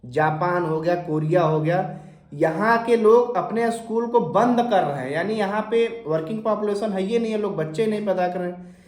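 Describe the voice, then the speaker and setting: High-voiced man with a sore throat. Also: moderate pace, a little mellow, roomy sound. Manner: conversational, asks questions then answers them himself. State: sore throat, clearing it often. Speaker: finance explainer channel host. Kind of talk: informal explainer talk to camera.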